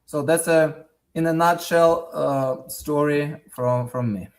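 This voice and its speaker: A man in his thirties, a native Russian speaker with a Russian accent, answering interview questions in a halting way with many fillers and restarts. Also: on the high side. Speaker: interview guest.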